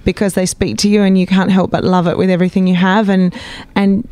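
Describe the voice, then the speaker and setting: A stage actress talking as a radio guest, enthusiastic and theatrical. Her voice is of middle pitch for a woman. The sound is dry and close. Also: little pitch movement, fairly quick, a little mellow, dry recording. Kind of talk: casual radio chat.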